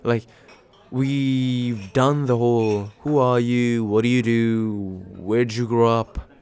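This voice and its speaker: A man playing a tender, nervous, attentive date in a soft, intimate ASMR roleplay, halting and gently self-deprecating with small stammers. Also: mid-range voice, fairly even intonation, clean tone, natural voice. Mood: warm, nervous. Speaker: voice actor in an audio roleplay.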